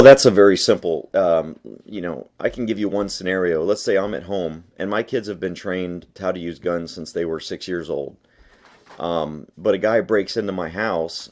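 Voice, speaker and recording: A man's voice: deep voice, a little mellow. A man being interviewed by phone, answering argumentatively in an emphatic, insistent manner. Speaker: interview guest, gun rights advocate. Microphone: phone call.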